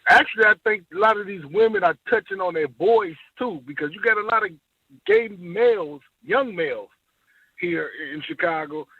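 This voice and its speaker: A man calling in to a talk show, speaking in a rambling, halting way over a phone line. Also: sits high, lively intonation, slightly rough, no room sound. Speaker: caller. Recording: tinny dry phone line.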